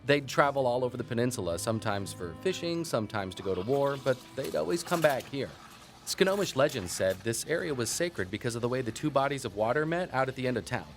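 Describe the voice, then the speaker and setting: Talkative local historian for audio fiction; mid-range voice, relishes old lore, folksy and dryly amused, given to tangents. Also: male voice, mid-range voice, very animated, fast, soft background music. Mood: absorbed storytelling. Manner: folksy, wry, digressive, with thoughtful asides. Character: chatty small-town male historian who relishes local lore. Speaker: town historian. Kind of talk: conversational storytelling in an audio drama.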